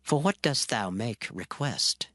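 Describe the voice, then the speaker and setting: An actor playing a stern, commanding older king in a dramatized scripture recording, questioning slowly and gravely. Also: mid-range voice, lively intonation, fairly bright tone, dry recording, clean studio sound. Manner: slow, measured questioning.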